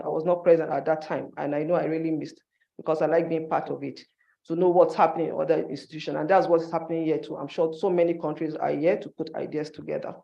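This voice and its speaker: A man's voice: a little high, vocal fry, dark tone, dull audio. A man giving an instructive conference presentation in short, emphatic phrases, as a university staff member.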